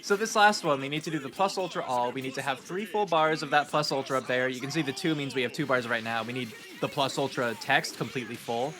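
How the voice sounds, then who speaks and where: A man with a stuffy nose giving relaxed, rambling commentary on his speedrun, with lots of ums. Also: medium pitch. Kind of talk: casual post-run gameplay commentary.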